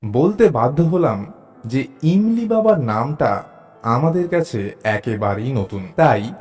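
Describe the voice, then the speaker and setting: A man narrating a scripted audio story in a measured, expressive storytelling manner. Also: mid-range voice. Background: musical background.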